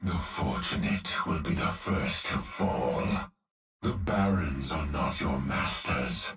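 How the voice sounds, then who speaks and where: An actor voicing a cruel, menacing male cyborg executioner, with a deep gravelly voice muffled as if through a gas mask, delivered slowly and subdued. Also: expressive, fairly bright tone, faint music behind, full character voice. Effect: muffled mask filter. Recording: echoey game audio played back from a distance.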